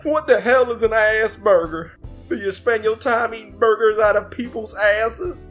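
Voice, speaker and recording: A man voicing a crude, mocking man with loud, drawn-out jeers in an animated story. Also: high voice, soft background music, voice pushed up.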